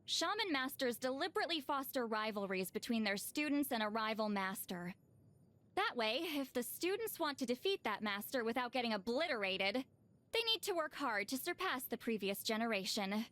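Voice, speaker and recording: A woman's voice: a little high, a bit bright. A woman voicing a reclusive video game shaman, dry and weary yet easily flustered and defensive. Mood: matter-of-fact, dry. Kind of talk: video game character voice lines.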